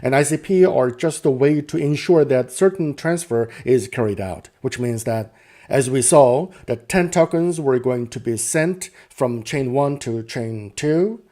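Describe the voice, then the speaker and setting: A man's voice: mid-range voice, expressive, unhurried, warm tone. A man narrating an unscripted technical demo, explaining each step and often rephrasing himself as he goes. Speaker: presenter demonstrating software.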